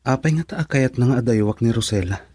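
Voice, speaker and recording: A man with an Ilocano accent playing a troubled, earnest father in a radio drama, with a husky voice. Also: mid-range voice, fast, a little mellow, natural voice. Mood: troubled, pleading.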